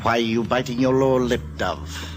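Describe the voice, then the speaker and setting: An actor playing a fussy, scoffing gentleman sidekick in 1940s radio style, droll and affected. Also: medium pitch, moderate pace, close to an everyday voice. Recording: noisy old radio recording.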